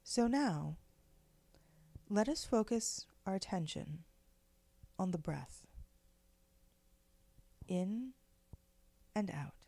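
A woman leading a guided meditation in a gentle, measured, soothing way, with pauses between phrases. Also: mid-range voice, lively intonation, vocal fry, no room sound. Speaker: guided meditation leader, spiritual director.

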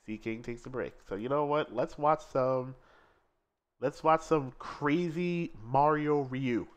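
A man co-hosting a live fighting-game stream, commentating matches and reading chat aloud, excitable and quick to laugh. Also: medium pitch. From Seattle.

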